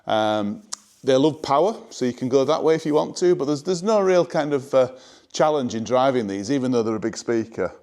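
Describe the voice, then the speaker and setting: A man giving an informal, enthusiastic but measured product presentation as a showroom presenter. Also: mid-range voice, lively intonation, darkish tone. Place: indoor hi-fi showroom.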